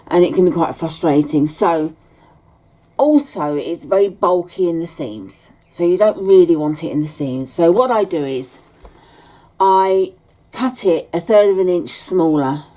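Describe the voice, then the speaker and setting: A woman teaching a relaxed sewing tutorial at home, chatty, with frequent ums and small self-corrections. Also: lowish voice. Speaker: bag maker teaching a sew-along.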